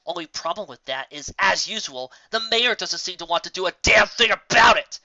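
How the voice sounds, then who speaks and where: A woman's voice: lowish voice, expressive, normal speed, bright, forceful tone, no echo, lo-fi sound, voice pushed up. A woman voicing a hot-tempered young pegasus pony in an indignant, blunt manner for a story reading. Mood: fuming.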